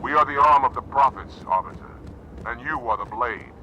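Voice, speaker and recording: A man playing a stern, battle-hardened alien special operations commander in a video game, measured and authoritative. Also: mid-range voice, lo-fi sound, slight background noise, lightly acted. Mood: stern, cautioning. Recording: game audio under music.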